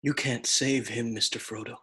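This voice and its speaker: A man's voice: medium pitch, steady tone, normal speed, a bit bright, slightly rough. An actor playing a loyal, protective male hobbit who talks bluntly and earnestly. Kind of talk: film acting.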